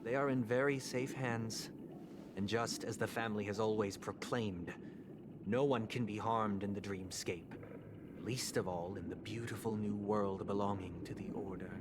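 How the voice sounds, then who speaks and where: Low-pitched, courteous male game villain played by an actor. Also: steady tone, noisy background, lightly acted. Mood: courteous, composed. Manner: formal, measured, lofty. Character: soft-spoken zealous male villain, courteous and self-righteous. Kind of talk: scripted game voice acting.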